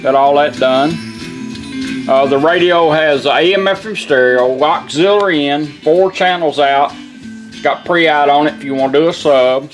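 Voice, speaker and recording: A man's voice: a little high, measured pace, faint music behind. A man giving a casual, folksy product demonstration, explaining things step by step in an informal way. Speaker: radio restorer demonstrating a product.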